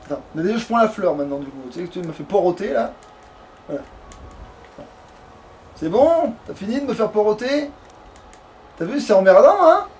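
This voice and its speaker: A man's voice: high voice, animated, normal speed, pauses often, darkish tone, a little hiss or hum. A man chatting casually as a let's player, in a crude, slangy, grumbling way. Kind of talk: casual gaming chat.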